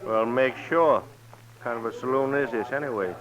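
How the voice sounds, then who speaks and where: An actor playing a cold, threatening gunslinger in an old-time radio western, with a tough folksy drawl. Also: male voice, medium pitch, normal speed, natural voice. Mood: menacing. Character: cold, menacing gunslinger drifting into a frontier town. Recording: dull vintage radio recording.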